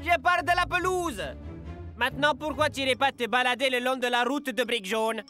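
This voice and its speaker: A man voicing a conceited, sarcastic, scolding groundskeeper inventor in an animated cartoon. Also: very high-pitched, a little fast, faint music behind. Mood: scolding, exasperated. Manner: pompous, sarcastic.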